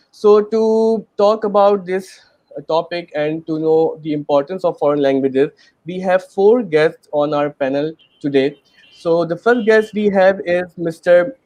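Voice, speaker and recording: A man's voice: fairly high pitch, a little mellow, no echo. A man with a Pakistani accent hosting a panel webinar in a chatty, earnest way, with many fillers. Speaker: webinar host.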